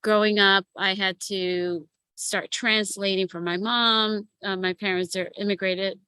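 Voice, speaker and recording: A woman in her fifties, a matchmaker and coach, telling her life story in a relaxed interview with frequent laughs. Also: mid-range voice, a little slow, smooth, dry recording. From United States. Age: 50s. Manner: lively storyteller who laughs often. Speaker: guest, matchmaker and relationship coach. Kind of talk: casual interview chat.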